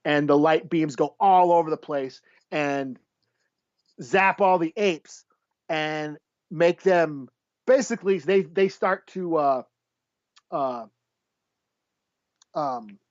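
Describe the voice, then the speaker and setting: A man's voice: fairly high pitch, slow, long pauses, a little mellow, dry recording. A man hosting a casual comic book podcast, rambling and sweary as he gropes for names. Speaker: podcast host. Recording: slightly dull, dry lo-fi audio.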